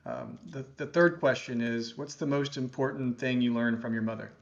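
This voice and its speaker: A man hosting a webinar interview over a video call, encouraging his guest and quick to laugh. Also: medium pitch, steady tone, vocal fry, highs cut off. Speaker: host.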